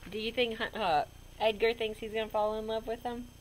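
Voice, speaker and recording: A woman reading a children's picture book aloud in a goofy, expressive way with chatty asides. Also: on the high side, normal speed, a little hiss or hum, rough recording. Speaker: storybook reader.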